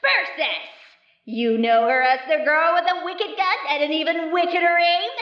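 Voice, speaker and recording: A woman voicing a booming, excitable male sports announcer in a showy, drawn-out style for a story. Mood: hyped, showy. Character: booming, excitable male stadium announcer hyping a festival crowd. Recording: roomy, muffled, distant arena effect.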